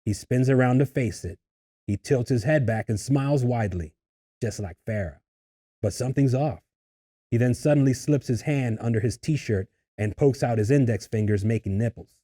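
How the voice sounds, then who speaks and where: A man reading screenplay stage directions in a husky voice, as scripted narration at a table read. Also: medium pitch, normal speed, warm tone.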